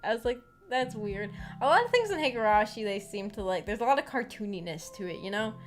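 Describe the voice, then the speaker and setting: A woman's voice: medium pitch, expressive, quick pace. A woman streamer chatting casually with her viewers, rambling and thinking aloud in a giggly way. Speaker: streamer hosting a visual novel book club. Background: background music.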